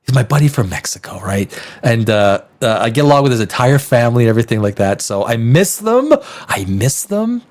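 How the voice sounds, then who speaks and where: Animated North American man in his fifties. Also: medium pitch, a little fast. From Canada, born in Czech Republic. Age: 50s. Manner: emphatic, profane, repeats phrases. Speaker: livestream host. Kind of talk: casual unscripted livestream chat.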